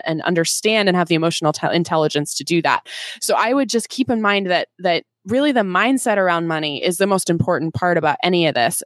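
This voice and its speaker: A woman's voice: lowish voice, dry recording. A woman in her twenties, a finance coach and guest co-host, chatting eagerly with fillers like "um" and "uh". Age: 20s. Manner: enthusiastic, with many fillers. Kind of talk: casual podcast chat.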